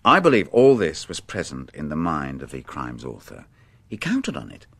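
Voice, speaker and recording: A shrewd police inspector in a radio play, with a low voice that moves freely up and down. He is dogged yet deferential, choosing understated, guarded words. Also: huge pitch swings, normal speed, fairly bright tone, slightly rough, heavily acted. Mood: respectful, insistent. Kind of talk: radio drama acting.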